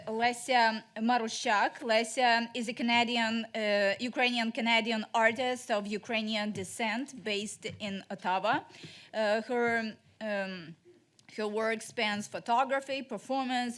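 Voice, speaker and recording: A woman's voice: fairly high pitch, a bit bright. A woman chairing a conference panel, reading a speaker introduction with frequent fillers. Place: conference room.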